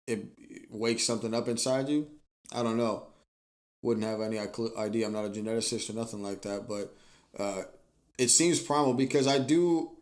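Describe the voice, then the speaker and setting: Host, man, mid-pitch, friendly but halting, lots of restarts. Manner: hesitant, halting, full of restarts. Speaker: podcast host. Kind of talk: casual interview chat.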